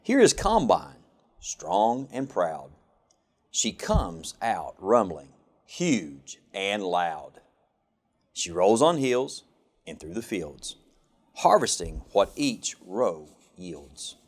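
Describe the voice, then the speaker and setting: A man reads a children's story aloud in a fairly low voice. His pace is very slow, and his pitch swings widely through each rhyming line, giving it an expressive, sing-song lilt. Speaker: government official reading a children's picture book.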